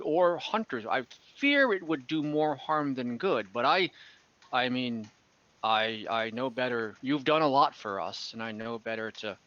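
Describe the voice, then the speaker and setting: Man playing a halting, bright-voiced, weary town leader. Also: mid-range voice, dull audio. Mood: doubtful, wry. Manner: halting, self-correcting, rambling. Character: hesitant, tired, polite male town leader, rambling diplomatically. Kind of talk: improvised in-character tabletop roleplay.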